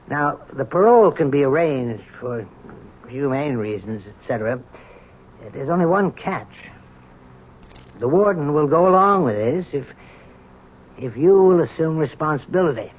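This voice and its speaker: Coaxing prison doctor, tinny radio. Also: male voice, a little high, expressive, measured pace, pauses often, breathy edge. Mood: earnest persuasion. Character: persuasive, humane prison doctor. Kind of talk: old-time radio drama acting. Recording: thin, noisy old radio broadcast.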